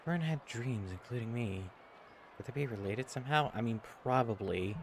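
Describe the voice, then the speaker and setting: A man's voice: medium pitch, a little fast, background noise. A man streaming a game, reading dialogue lines aloud. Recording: clear background game ambience.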